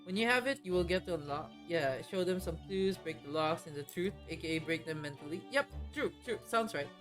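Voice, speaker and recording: A woman's voice: fairly low pitch, lively intonation, a little fast, soft background music. A woman streamer chatting casually while playing a game, rambling and hesitant as she explains things. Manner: rambling, hesitant, many fillers and restarts.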